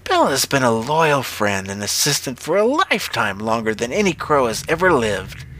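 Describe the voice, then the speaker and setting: A man voicing a sly, vengeful old witch in a storybook reading, with a slow, crooning delivery. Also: medium pitch, sing-song, a bit bright, a little hiss or hum. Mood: menacing. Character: menacing old witch, slyly pleased, vengeful.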